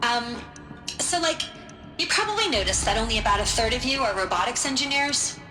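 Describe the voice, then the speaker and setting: A woman's voice: a little high, expressive, fairly quick, close to an everyday voice. Actress doing eager woman engineer in a game, informal n wry, roomy echo, music behind. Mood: informal, upbeat. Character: casual, eager woman engineer briefing recruits. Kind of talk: video game acting, informal presentation.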